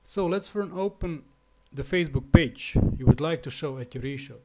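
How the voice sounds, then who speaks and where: Slovenian man giving a halting tutorial. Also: on the high side, dark tone, slight background noise. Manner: halting, with fillers and restarts. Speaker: web developer. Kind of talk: screencast tutorial.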